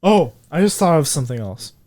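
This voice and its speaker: A man in his twenties co-hosting a casual podcast chat, swearing freely, his voice jumping high when he gets indignant. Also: very animated, a little fast, darkish tone, no echo. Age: 20s. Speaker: podcast co-host.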